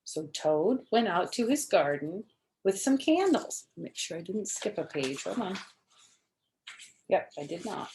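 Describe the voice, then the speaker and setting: A woman's voice: lowish voice, big pitch swings, measured pace, a little mellow, a little hiss or hum. A woman reading a children's story aloud expressively, with chatty asides, questions and simple explanations for young listeners.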